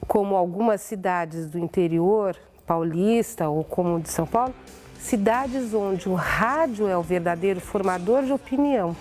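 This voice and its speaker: A woman with a Brazilian accent speaking in an interview as a radio executive, halting and emphatic with pauses. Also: medium pitch, darkish tone, faint music behind. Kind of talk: interview reminiscence.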